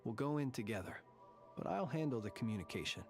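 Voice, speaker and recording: An actor playing a solemn, courtly lighthouse warden who consoles ghosts in a video game, measured and eloquent. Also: male voice, medium pitch, animated, faint music behind, lightly acted. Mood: composed, grave. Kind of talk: video game character acting.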